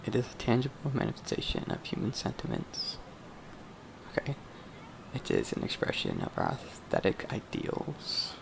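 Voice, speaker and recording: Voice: medium pitch, huge pitch swings, moderate pace, creaky, noisy background. A gamer giving casual, offhand commentary on a playthrough, sometimes sarcastic, sometimes reading out dialogue choices. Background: game music.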